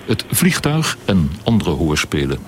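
A man's voice: deep voice, very animated, measured pace, very noisy. A man reading a scripted introduction as a radio announcer. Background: loud music.

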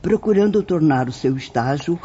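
A Brazilian actress playing a venerable, kindly spirit nun who instructs in a declamatory radio drama style. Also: female voice, deep voice, lively intonation, normal speed, mellow. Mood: welcoming, instructive. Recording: dull lo-fi radio recording, hissy background.

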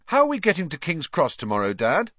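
A man's voice: fairly high pitch, huge pitch swings, fairly quick, fairly bright tone, no echo, muffled recording, voice pushed up, heavily acted. A man voicing a mischievous teenage boy, joking and exclaiming in a storybook reading. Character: cheeky, mischievous teenage twin brothers, mock-pompous teasers.

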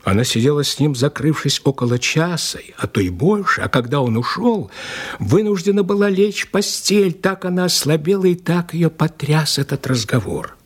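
An actor in an audio play as a respectful police inspector, recounting a case in an emphatic, dramatic way with a slightly husky voice. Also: male voice, fairly high pitch, moderate pace. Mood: mysterious, confiding. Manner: animated, respectful, emphatic storyteller.

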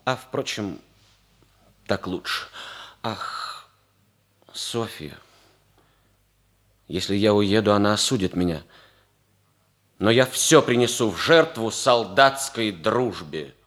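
An actor playing a noble, lovelorn young cavalry officer in a theatrical, sighing manner in a 1960s radio comedy. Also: male voice, lowish voice, animated, slow, pauses often, a bit bright. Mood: melancholy. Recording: 1960s radio play with music behind.

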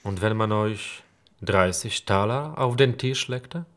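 A man's voice: fairly low pitch, slow, fairly bright tone. An actor playing a scheming, persuasive nobleman in disguise in a radio play. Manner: smooth-talking and persuasive. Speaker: Saklika, a nobleman.

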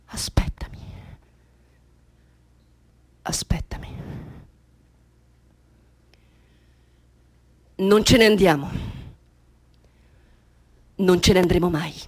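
A woman's voice: lowish voice, slow, long pauses. An actress playing an anguished, bitterly self-scorning woman in a theatrical radio drama, intense and repetitive, dropping into murmurs. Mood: urgent, resolute.

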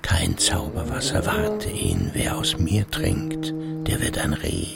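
Gravelly, slow, swooping husband. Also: male voice, medium pitch, wildly swooping pitch, loud music behind the voice, full character voice. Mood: defensive, lyrical. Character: self-justifying, melancholy cultured husband, easily weary of company. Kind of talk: literary radio play monologue.

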